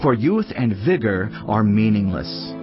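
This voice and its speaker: Solemn wisdom teacher, music behind. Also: male voice, fairly low pitch, very animated, measured pace. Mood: solemn, reflective. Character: solemn male teacher of ancient wisdom, reflective and counselling. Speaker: voice actor reading scripture. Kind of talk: dramatised audio Bible reading. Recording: dull audio under clear music. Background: background music.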